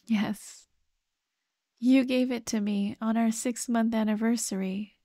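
Woman acting a slow, high-voiced, tender ghost girlfriend. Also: steady tone, warm tone, dry recording, studio quality. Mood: wistful, tender. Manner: slow, tender, sighing, pleading. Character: gentle, grieving ghost of a dead girlfriend, comforting, pleading. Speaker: ASMR roleplay performer. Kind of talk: soft ASMR roleplay acting.

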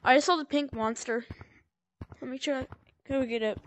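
A woman casually walking through a VR game tutorial on a headset mic, rambling and correcting herself, with sudden excited outbursts. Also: high-pitched, normal speed. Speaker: gameplay tutorial maker. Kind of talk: casual VR gameplay walkthrough.

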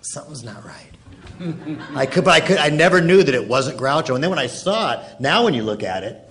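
A man's voice: on the high side, very animated, a little fast, fairly bright tone. A man moderating a live panel, explaining background stories in an informal, conversational way. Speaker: interview moderator. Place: live event in a theatre. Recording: live event pickup, faint noise.

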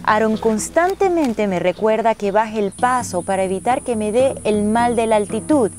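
A woman's voice: on the high side, animated, moderate pace, a little mellow. A woman reading a scripted travel narration in the role of narrator and traveller.

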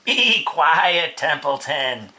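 A storybook narrator voicing a bossy old sheep, giving brisk commands. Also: mid-range voice, lively intonation, bright, forceful tone, pitched above the performer's own voice, full character voice. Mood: urgent, bossy.

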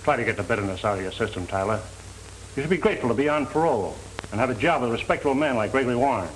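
A man's voice: mid-range voice, lively intonation, very fast, close to an everyday voice. A middle-aged man playing a stern, by-the-book parole officer in 1930s film style, with a firm, clipped delivery. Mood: stern advice. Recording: noisy 1930s film soundtrack.

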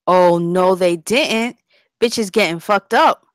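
A woman's voice: on the low side, takes it slowly, dry recording, clean studio sound. Woman playing a tough, brash young gang girl. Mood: indignant. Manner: streetwise, slangy.

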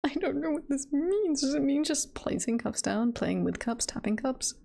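A woman's voice: high voice, animated, normal speed, vocal fry, warm tone. A woman chatting casually on a livestream in a giggly, playful, rambling way. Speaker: livestreamer, virtual avatar host.

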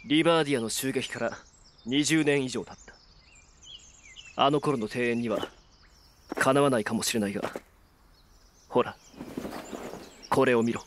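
A man playing a calm, resolute young adventurer in a fantasy audio drama, speaking tersely and with composure. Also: normal speed, long pauses, slight background noise, lightly acted. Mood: earnest, apologetic. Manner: terse, composed, low-key.

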